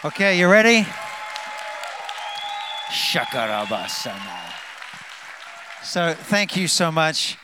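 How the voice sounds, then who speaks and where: A man with a New Zealand accent, a guest speaker telling testimonies at a church, animated and rousing the congregation. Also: on the high side, slow pace, pauses often, noisy background. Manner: animated, hypes up the crowd, trails off into asides. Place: church sanctuary.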